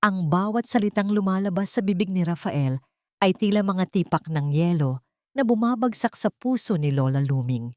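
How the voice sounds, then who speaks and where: A woman's voice: on the low side, animated, normal speed, dry recording, clean studio sound. A woman narrating a scripted audio story in a dramatic storytelling style.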